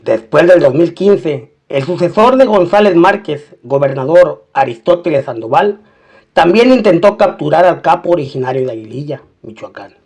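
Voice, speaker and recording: A man's voice: fairly high pitch, expressive, moderate pace, a little mellow. A man narrating a scripted documentary-style crime story, mixing in colloquial slang as he tells it.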